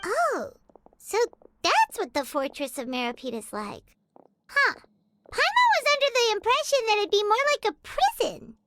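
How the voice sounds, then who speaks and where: A woman playing a small, naive, chirpy fairy companion in a video game, with a squeaky childlike voice and an inquisitive, exclamatory way of talking. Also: huge pitch swings, moderate pace, noisy background, exaggerated voice. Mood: curious. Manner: talks of self in third person, exclamatory, inquisitive. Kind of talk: video game character acting. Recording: game music behind the voice.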